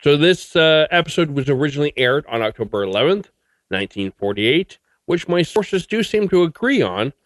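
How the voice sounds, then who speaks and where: A man's voice: a little high, big pitch swings, moderate pace, no echo. A male podcast host giving a chatty, informative introduction, sometimes hesitant.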